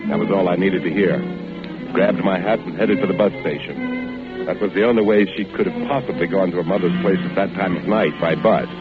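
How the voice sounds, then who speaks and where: An actor playing a curt, suspicious husband in a 1940s radio drama, clipped and sardonic in the hard-boiled manner. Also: male voice, low-pitched, brisk, over loud music, lightly acted. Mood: grim, narrating. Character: curt, suspicious husband hunting his missing wife. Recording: muffled vintage radio recording.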